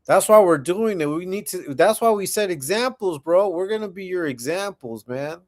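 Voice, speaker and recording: A man's voice: sits high, animated, normal speed, darkish tone. A man in his forties hosting a casual livestream, chatting laid-back with viewers and often repeating himself. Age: 40s. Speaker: livestream host.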